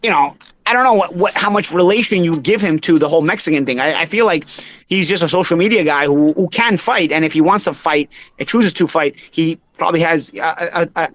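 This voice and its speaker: A man with a thick Bensonhurst Brooklyn accent talks boxing in quick bursts. His voice sits a little high, and he keeps restarting and repeating words as he goes. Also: fairly bright tone, no room sound. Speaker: host, former boxer. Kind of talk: casual boxing talk.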